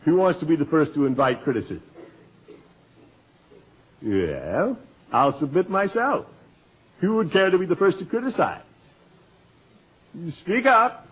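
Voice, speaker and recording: Casting: a blustery, bumbling club chairman, oratorical delivery with big melodic swoops, slightly husky, vintage radio comedy sound. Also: a little high, big pitch swings, measured pace, pauses often, full character voice. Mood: earnest, self-important. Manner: pompous, oratorical, chuckling. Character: pompous middle-aged man, bumbling self-important club leader with sly chuckle. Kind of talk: 1940s radio comedy acting. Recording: muffled old 1940s radio recording.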